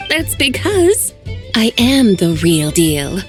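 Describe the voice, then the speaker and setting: A woman's voice: on the high side, sing-song, slow pace, faint music behind. An actress playing a haughty young woman who poses as a divine spirit in a video game, speaking in a lofty, self-assured way.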